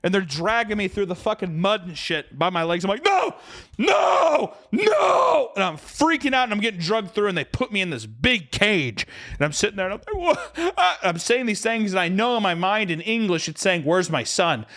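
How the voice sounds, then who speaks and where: A tipsy young man spins a long, ridiculous story for his podcast co-host. He curses freely and mocks himself, and his voice swoops up and down with animated energy. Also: high-pitched, a little fast. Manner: animated, profane, self-mocking storytelling. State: drunk.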